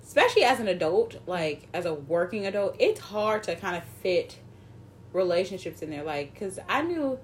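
A woman's voice: lowish voice, sing-song, normal speed, a little hiss or hum. A woman around thirty in casual chat, talking loosely with lots of filler words. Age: 30s.

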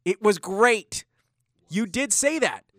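A man co-hosting a wrestling podcast in casual chat, teasing and getting loud when he argues. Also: sits high, slow pace, dry recording, clean studio sound. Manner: animated, teasing, gets loud when arguing. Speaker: podcast co-host. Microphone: studio microphone.

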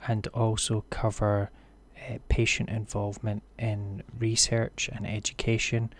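A man hosting a podcast, his voice unusually deep from a call glitch, hesitant with many fillers and restarts, recorded over a video call. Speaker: podcast host. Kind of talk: podcast intro and interview questions.

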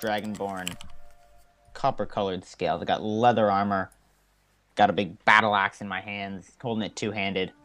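A man's voice: on the low side, steady tone, moderate pace, a bit bright, soft background music. A man playing a tabletop game, plainly describing his character. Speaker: tabletop player.

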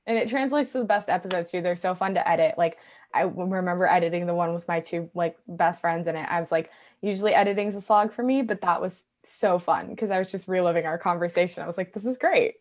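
A woman's voice: lowish voice, fast. A bubbly woman hosting a podcast, chatting casually and laughing often. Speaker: podcast host. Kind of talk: casual chat.

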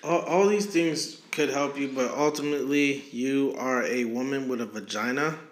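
A man's voice: medium pitch, normal speed. A man in his thirties co-hosting a podcast, running the segment and teasing his co-host bluntly. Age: 30s. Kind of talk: casual chat.

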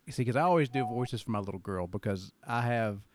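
A man's voice: mid-range voice, lively intonation, quick pace, darkish tone, slight background noise. A man in his forties hosting a casual interview, telling jokey stories in a chatty way. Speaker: podcast host.

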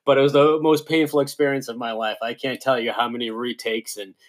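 A man's voice: mid-range voice, steady tone, a little fast. Upbeat New York man hosting a podcast. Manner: friendly, upbeat, addresses listeners directly. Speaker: podcast host. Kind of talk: casual podcast interview.

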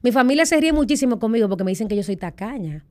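A middle-aged woman with a Dominican accent, telling her own story in a candid interview, lively and full of quoted voices. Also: on the high side, very fast. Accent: Dominican Spanish. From Dominican Republic. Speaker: guest.

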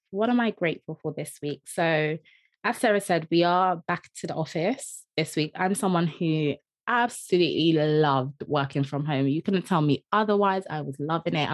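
Young female podcast host, lowish voice, dry close sound, bubbly and laughing. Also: dry recording. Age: young adult. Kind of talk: casual interview chat.

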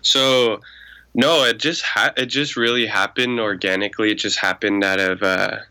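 A man in his twenties with a voice raspy from a cold, answering questions in a laid-back, rambling way with many fillers. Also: on the low side, steady tone, normal speed, sharp tone. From Arizona. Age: 20s. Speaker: guest, music producer. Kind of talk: casual podcast interview.

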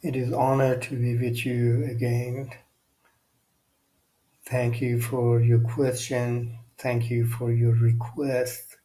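A man hosting a guided meditation podcast, speaking non-native English in short, measured phrases with long pauses. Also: medium pitch, monotone, mellow, poor audio. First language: not English. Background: music.

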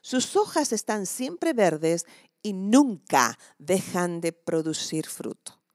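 Woman, devotional preacher, lively swooping intonation, reflective, emphatic key words, dry close recording. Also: on the low side, slow, no echo. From southern Chile. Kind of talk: conversational devotional sermon with scripture reading.

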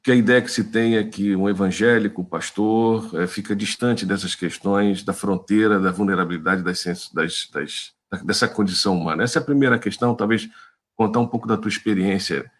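A male interviewer with a very low voice. He searches for his words as he frames his questions, circling back through repeated false starts. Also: a little fast.

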